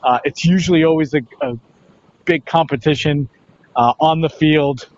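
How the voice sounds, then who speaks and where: Higher-pitched man in a dull, lo-fi sports interview. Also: steady tone, moderate pace. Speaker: radio play-by-play announcer, interview guest. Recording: dull, lo-fi, band-limited sound.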